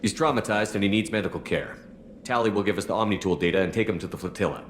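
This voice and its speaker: A man as a low-voiced, blunt military commander. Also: fast, a little hiss or hum, natural voice. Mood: firm, challenging. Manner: terse, blunt. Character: tough, blunt male military commander, adult, wary and decisive. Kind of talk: video game dialogue. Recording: game music behind.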